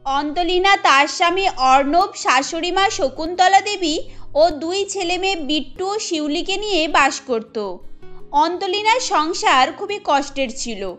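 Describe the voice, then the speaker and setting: Very high-voiced female story narrator. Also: animated, unhurried, a bit bright, soft background music. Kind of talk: scripted cartoon story narration.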